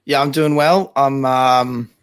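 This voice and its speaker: An Australian man on a football podcast, his voice a bit raspy and hoarse after a long night, talking in a relaxed, agreeable way. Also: mid-range voice, slow pace, no echo, clean studio sound. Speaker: podcast co-host. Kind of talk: casual sports chat.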